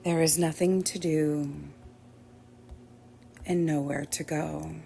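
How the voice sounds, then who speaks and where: A woman teaching yoga nidra, guiding relaxation in a gentle, soothing way with long pauses. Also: low-pitched, steady tone, creaky, slight background noise. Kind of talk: guided relaxation meditation. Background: soft background music.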